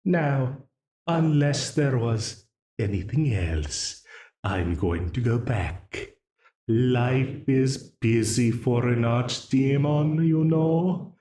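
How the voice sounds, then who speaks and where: A man voicing a mocking male archdemon in an audio roleplay. He speaks with a smooth, teasing, leisurely menace and chuckles often. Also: mid-range voice, expressive, warm tone. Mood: amused menace. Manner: slow, leisurely menace broken by frequent chuckles. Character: mocking, amused male archdemon binding a ruler to a contract. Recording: roomy echo.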